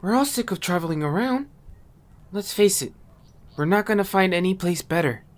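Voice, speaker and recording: A woman's voice: medium pitch, lively intonation, normal speed, lightly acted. A woman voicing a blunt, food-loving young creature in an amateur audio story. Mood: fed up. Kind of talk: amateur audio drama acting.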